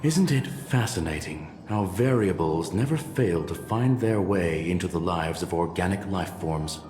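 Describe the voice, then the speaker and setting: An actor playing an aloof, analytical robot genius who speaks in a measured, formal way. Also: male voice, low-pitched, expressive, a little mellow. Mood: detached. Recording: roomy reverb with game music.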